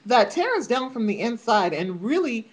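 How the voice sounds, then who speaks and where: A woman's voice: a little high, sing-song, moderate pace. A woman ranting in a casual vlog, sassy and emphatic, calling her viewers honey. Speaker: vlogger.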